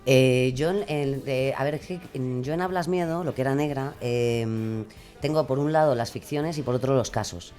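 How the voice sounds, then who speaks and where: A woman from Spain with a notably low voice speaks informally as a guest in an interview. She thinks aloud, stopping and restarting as she rewords her explanations. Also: dark tone. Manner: halting, thinking aloud, self-correcting. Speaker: podcast creator and guest.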